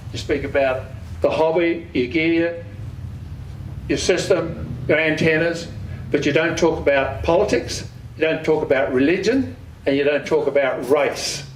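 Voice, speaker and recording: An Australian man with a slightly high-pitched voice gives an informal club presentation, recorded from a distance in a very echoey room. He is plain-spoken and often poses questions that he then answers himself. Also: a little hiss or hum. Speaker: amateur radio club speaker. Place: community hall.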